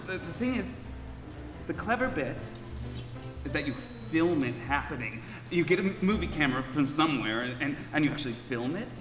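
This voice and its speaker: An actor playing an eccentric, flustered know-it-all in a comic stage radio play, halting, insistent and repeating himself. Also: male voice, fairly high pitch, big pitch swings. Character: eccentric, flustered male know-it-all explaining things badly. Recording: echoey hall with music behind.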